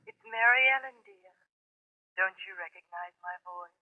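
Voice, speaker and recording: A woman's voice: medium pitch, moderate pace. A woman playing a dead woman's ghost over a telephone, sweetly endearing and ominously possessive, in vintage radio suspense style. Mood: tender, eerie. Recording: tinny telephone sound on old radio.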